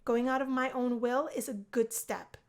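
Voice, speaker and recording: A woman's voice: a little high, steady tone, moderate pace, natural voice. A woman voicing a shy, anxious young college woman in a visual novel, easily flustered. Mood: nervous resolve. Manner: flustered, stammering when upset.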